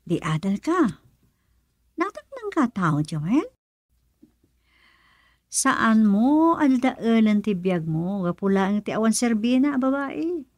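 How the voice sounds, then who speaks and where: An actress playing a devoted young friend who consoles and urges, in an Ilocano Filipino radio drama. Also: female voice, a little high, very animated, slow, long pauses, a little mellow, no room sound, full character voice. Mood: urging, scolding.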